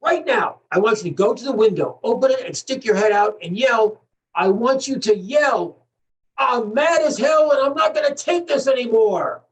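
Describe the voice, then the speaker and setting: A fed-up television anchorman acted by a man rants in a dramatic monologue, exhorting with agitated emphasis. The pitch swings widely, and the voice is picked up at some distance from the microphone. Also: lively intonation, moderate pace. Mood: angry, rousing. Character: fed-up, impassioned male TV news anchor ranting.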